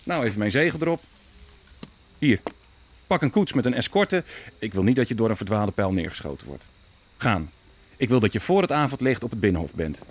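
An actor playing an authoritative princely army commander in a historical radio drama, dictating deliberately and giving clipped orders. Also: male voice, fairly low pitch, sing-song, a little hiss or hum. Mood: self-assured, commanding.

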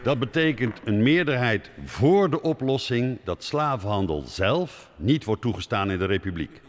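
An actor playing a formal assembly official proclaiming a ruling in a historical audio drama. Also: male voice, mid-range voice, expressive, normal speed, lightly acted. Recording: echoing hall with background noise.

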